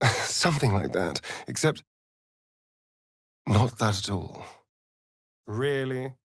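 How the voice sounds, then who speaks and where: Flirty sardonic vampire rogue for a fantasy game, slow drawl, pitch swoops around a lot, really dry close sound. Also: male voice, lively intonation, slightly rough, dry recording, full character voice. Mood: teasing, seductive. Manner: arch, theatrical, drawling delivery with sly asides. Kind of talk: video game character voice acting.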